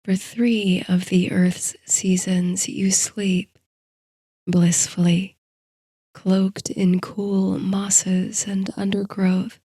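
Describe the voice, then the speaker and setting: A woman narrates a bedtime story in a hushed, soothing voice with breathy softness. Her delivery is very slow and even, with little rise or fall in pitch. The tone is dark and mellow, suited to guided meditation. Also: dry recording. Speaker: host and narrator of a sleep story series.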